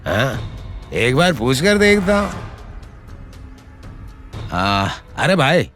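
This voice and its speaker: A man's voice: mid-range voice, big pitch swings, extremely slow, a bit bright, faint music behind, exaggerated voice. An actor playing a desperate, lovesick young man who pleads insistently. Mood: puzzled. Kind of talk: melodramatic web drama acting.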